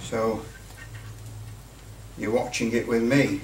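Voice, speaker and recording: A man giving a casual hands-on commentary as a hobbyist, halting and muttering a little to himself as he works. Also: medium pitch, flat delivery. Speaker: hobbyist presenter. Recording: roomy, distant mic with light noise.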